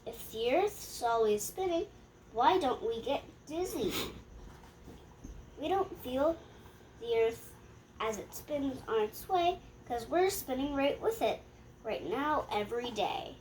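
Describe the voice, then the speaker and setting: A young girl reading a rhyming picture book aloud in a halting way, stumbling and correcting herself. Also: high-pitched, lively intonation, a little mellow, a little hiss or hum, low-quality recording. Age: child.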